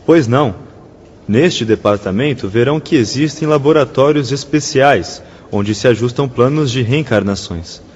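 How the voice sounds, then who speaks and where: An actor playing a courteous, patient official in a radio drama, explaining things in a formal, measured, didactic way. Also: male voice, medium pitch, a little mellow, in a large hall, lo-fi sound, a little hiss or hum, lightly acted. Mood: solemn, explanatory. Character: courteous male spirit-world official patiently explaining procedures.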